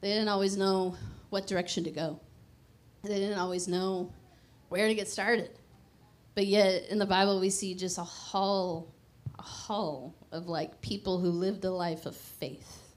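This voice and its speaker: A woman's voice: mid-range voice, steady tone, a little slow. A woman, preaching a conversational, emphatic sermon into a handheld mic on a church stage. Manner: conversational, emphatic, drops to a hushed prayer.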